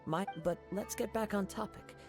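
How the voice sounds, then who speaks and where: A man playing a gentle, courteous young wandering samurai in a video game, speaking in composed, formal phrases. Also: sits high, normal speed, gravelly. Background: game music.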